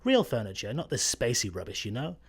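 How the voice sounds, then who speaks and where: A man acts a hopeful, hapless stowaway salesman in a comedy radio play. His voice is mid-pitched and very lively in its swings. He sounds earnest, hesitant and ready to protest. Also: brisk, gravelly, slightly rough, heavily acted. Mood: earnest pleading.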